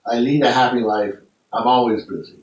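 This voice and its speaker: Man, class discussion leader, reflective and unhurried, mic set well back in a roomy hall. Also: medium pitch, fairly even intonation. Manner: reflective, thinking aloud with restarts. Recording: echoey room, mic far off.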